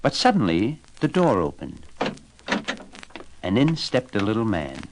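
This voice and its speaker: A male audiobook narrator tells a storybook tale. His voice is on the low side and very lively in pitch. The delivery is expressive and dramatic. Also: measured pace, background noise.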